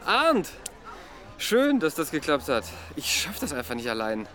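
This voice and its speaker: An actor playing an eager, excited street café owner with an enthusiastic manner in a radio play. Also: male voice, on the high side, unhurried, a faint crowd, heavily acted. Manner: animated, enthusiastic. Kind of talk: naturalistic radio play dialogue. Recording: noisy outdoor street ambience.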